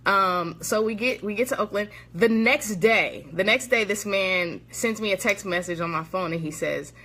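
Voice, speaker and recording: A chatty woman from the Bay Area tells a story to her viewers on a live video. She laughs often and keeps calling out to them as y'all. Her voice is bright and forceful and sits at a medium pitch. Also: normal speed. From San Francisco Bay Area. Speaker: motivational speaker.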